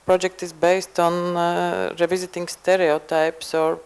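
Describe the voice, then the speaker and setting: A woman with a Latvian accent moderating a panel discussion in English, halting with fillers. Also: fairly low pitch, level tone, a little mellow, smooth. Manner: halting, many fillers and restarts.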